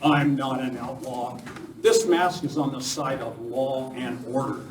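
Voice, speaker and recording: A man's voice: mid-range voice, steady tone, unhurried, crowd noise, lightly acted. A man playing a heroic, resolute masked cowboy lawman in a live radio western. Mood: firm, reassuring. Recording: distant mic in echoey hall, faint noise.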